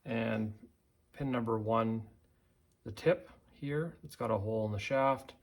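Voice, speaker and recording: Man, tutorial presenter, noticeable vocal fry, unscripted, halting step-by-step explanation, thinking aloud. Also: lowish voice.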